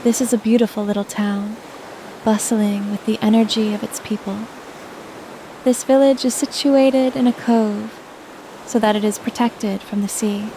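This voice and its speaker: A woman narrating a scripted guided sleep meditation in a soothing, gentle manner. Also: medium pitch, fairly even intonation, moderate pace, noisy background.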